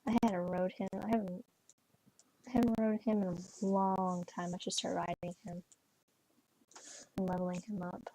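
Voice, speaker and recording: A woman's voice: lowish voice, little pitch movement, normal speed, long pauses, dark tone, low-quality recording. A young woman chatting casually while playing a horse game, rambling with lots of fillers and restarts. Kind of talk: casual gameplay chat. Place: bedroom, on a bed.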